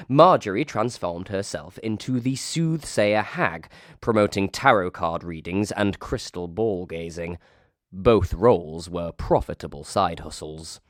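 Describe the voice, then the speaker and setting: Low-voiced man narrating a wry story. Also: no echo, clean studio sound. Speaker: reader of a ghost story.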